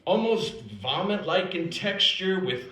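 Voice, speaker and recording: A man's voice: high voice, expressive, a little slow, bright, forceful tone. An American man performing a scripted comic personal story live, with a dramatic, wry, self-deprecating delivery. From United States, South Dakota. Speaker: storyteller performing own written piece. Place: indoor performance space at an acting institute. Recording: roomy, echoing live stage recording.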